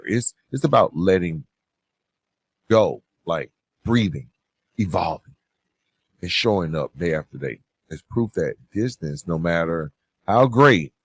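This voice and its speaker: Deep-voiced male podcast host, dry sound. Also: dry recording. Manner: earnest, emphatic, repeats phrases for stress. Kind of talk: motivational advice monologue, conversational. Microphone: close podcast microphone.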